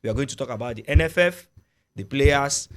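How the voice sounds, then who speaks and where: A man's voice: mid-range voice, animated, brisk, noisy background. A man with a Nigerian accent hosting a casual football discussion, murmuring agreement and often restarting sentences. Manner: frequent affirming murmurs, restarts. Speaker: panel host.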